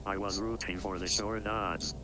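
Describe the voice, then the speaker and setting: A man voicing a calm, courteous, quietly ominous artificial intelligence with measured, formal delivery in an analog horror story. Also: sits low, background noise, close to an everyday voice. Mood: calm, wistful. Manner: measured, formal, speaking in short deliberate statements. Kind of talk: analog horror scripted acting.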